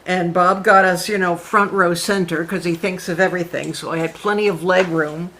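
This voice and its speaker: A woman's voice: fairly low pitch, fairly even intonation, moderate pace, fairly bright tone. A woman chatting cheerfully about her recovery from knee surgery over a video call. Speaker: club member. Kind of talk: casual chat.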